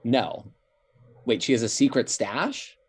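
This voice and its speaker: A man reading the part of an excited relative revealing a family secret at an online table read, sounding eager. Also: medium pitch, slow, breathy edge.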